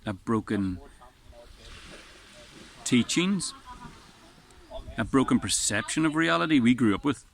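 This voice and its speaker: A man's voice: mid-range voice, animated, slow, vocal fry, long pauses. A man with a strong voice sits outdoors on a beach and talks in an earnest, rambling way, often restarting his sentences. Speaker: vlogger. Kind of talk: casual spoken reflection. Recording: faint wind noise outdoors.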